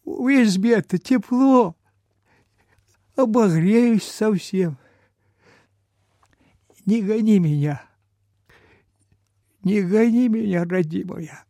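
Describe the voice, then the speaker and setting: Old sick peasant, humble, pleading, weak breath, unhurried, dry studio sound, radio drama. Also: male voice, high voice, mellow, no echo, full character voice. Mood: pleading, grateful. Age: older. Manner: slow, pleading, folksy rural speech. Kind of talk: Soviet radio play acting.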